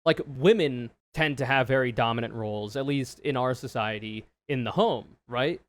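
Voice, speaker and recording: A man with a low voice giving casual, opinionated reaction commentary, swearing freely and explaining in loose run-on sentences. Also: normal speed. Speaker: streamer reacting to a video.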